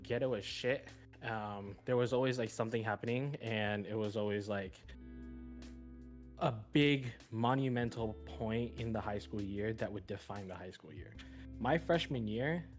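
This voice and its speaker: A young man whose first language is Vietnamese talks loosely through childhood stories, stumbling and restarting as he goes. His voice sits at an ordinary middle pitch. Faint guitar music plays underneath. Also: normal speed. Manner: rambling, many restarts and fillers, laughs at himself. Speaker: podcast guest, streamer. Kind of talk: casual life-story chat.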